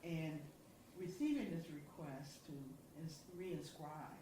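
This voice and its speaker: A woman's voice: sits low, lively intonation, slow pace, background noise. A woman poet speaking thoughtfully and earnestly about her writing at a symposium. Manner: thoughtful, earnest, with small restarts. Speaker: contributing poet. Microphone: far from the microphone. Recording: distant voice in a very echoey hall.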